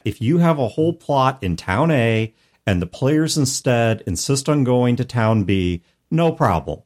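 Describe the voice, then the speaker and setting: Male host, medium pitch, highly varied intonation. Articulate, emphatic explainer in a conversational gaming discussion. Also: expressive, measured pace, a little mellow. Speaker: podcast host. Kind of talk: conversational advice on tabletop game mastering.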